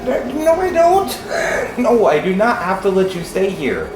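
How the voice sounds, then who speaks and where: A woman's voice: medium pitch, wildly swooping pitch, takes it slowly, roomy sound, music in the background, exaggerated voice. A woman voicing an incredulous, confrontational woman who has just moved into a house, in an audio drama. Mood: exasperated.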